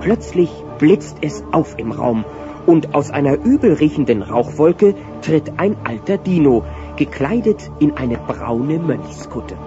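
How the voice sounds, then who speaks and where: An actor as the narrator of a children's audio play, telling the story in a vivid scripted style. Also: male voice, on the high side, sing-song, unhurried. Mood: storytelling. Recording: muffled cassette-like sound with music.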